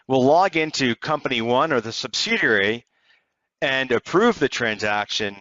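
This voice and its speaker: A man narrating a software tutorial step by step in an explanatory, conversational way. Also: mid-range voice, no echo, muffled recording. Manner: explanatory, conversational walk-through. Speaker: software demonstrator.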